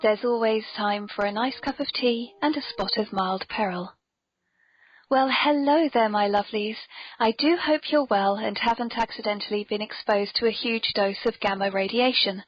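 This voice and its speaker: High-voiced, genteel British woman hosting. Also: moderate pace. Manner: genteel, playfully theatrical, polite. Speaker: podcast host. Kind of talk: whimsical scripted hosting and genteel chat. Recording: dull lo-fi recording, background noise.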